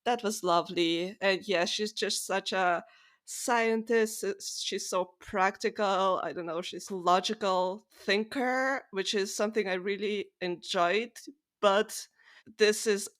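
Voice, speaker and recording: A woman's voice: mid-range voice, a bit bright. A woman co-hosting a podcast, talking through books in a casual, opinionated way. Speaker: podcast co-host. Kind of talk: casual book discussion.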